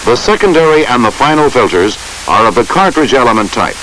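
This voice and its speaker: Male narrator for an instructional film: formal and measured, lively intonation, slightly hissy vintage soundtrack. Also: medium pitch, rough recording.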